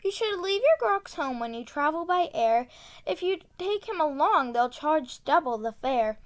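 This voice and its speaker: High-voiced woman reading a children's book aloud. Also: animated, normal speed. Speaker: storybook reader. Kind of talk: read-aloud of a children's tongue-twister book.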